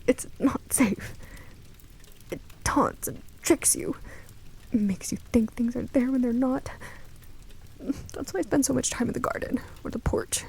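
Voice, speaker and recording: A woman's voice: on the high side, a little slow, creaky, pauses often, darkish tone, slightly rough, in a whisper, heavily acted, rough recording. A woman playing an anxious, protective, motherly older woman in a radio drama, with theatrical emotion. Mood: distraught, guilty.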